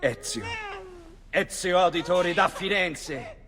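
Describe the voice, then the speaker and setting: An excitable, loving Italian gentleman speaks in a game drama with an Italian accent. His voice is fairly high and swoops widely. It rings in a long reverb with faint noise. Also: slow. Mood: proud, moved. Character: excitable, loving Italian banker, a new father. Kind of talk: dramatic video game dialogue.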